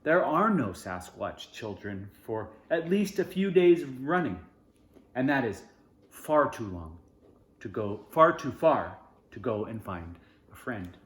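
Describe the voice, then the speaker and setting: A man's voice: medium pitch, big pitch swings, slow pace, a bit bright, reverberant. A man reading a children's storybook aloud, expressively, in short phrases with informal asides. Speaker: storybook reader.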